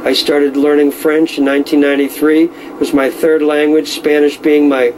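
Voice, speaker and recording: A middle-aged man who deals in violins, speaking in a measured, knowledgeable way with frequent fillers. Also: fairly high pitch, little pitch movement, darkish tone, slight background noise, poor audio. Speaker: violin dealer. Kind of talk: casual explanatory talk.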